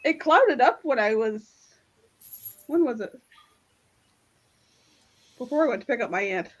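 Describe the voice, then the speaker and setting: A woman's voice: on the high side, expressive, measured pace. Lo-fi, rambling woman on a video call. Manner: rambling storyteller, laughs often. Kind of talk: casual group chat.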